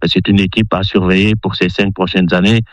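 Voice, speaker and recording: A sports journalist with a very low voice answers questions, pausing often on fillers. The sound is dull and lo-fi, dry, as if sent over a remote line. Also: male voice, flat delivery, no echo. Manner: hesitant, many fillers. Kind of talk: interview answer.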